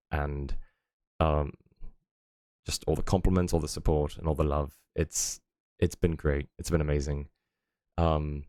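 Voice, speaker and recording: Deep voiced guy streaming from home, chatty and rambly, lots of ums, dry close mic. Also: a little mellow, no echo. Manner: rambling, lots of fillers and chuckles. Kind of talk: casual stream chat.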